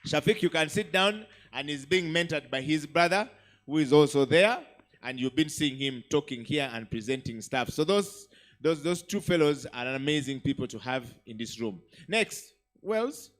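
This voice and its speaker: A man's voice: fairly high pitch, expressive, normal speed, a bit bright. A man hosting a live summit as MC, speaking in an emphatic, encouraging way and often repeating himself to call for applause. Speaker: event MC. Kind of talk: lively crowd-hosting, asking for applause. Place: summit hall with audience.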